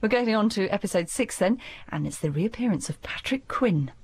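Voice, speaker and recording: A woman's voice: medium pitch, lively intonation, moderate pace, darkish tone. A woman presenter asking a guest chatty, curious questions. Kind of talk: interviewing a guest.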